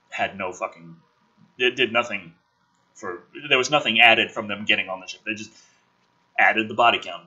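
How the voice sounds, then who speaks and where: Raspy, forceful, sardonic man. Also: on the low side, dull audio. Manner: sardonic, sweary, exasperated asides. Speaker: host reading and critiquing a film script draft. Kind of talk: dramatic script read-aloud with casual profane commentary.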